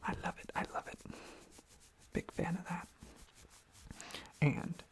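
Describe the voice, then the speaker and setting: Someone rambling softly in a breathy, husky ASMR whisper close to the microphone, casually repeating little phrases. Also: takes it slowly. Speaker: ASMR video creator.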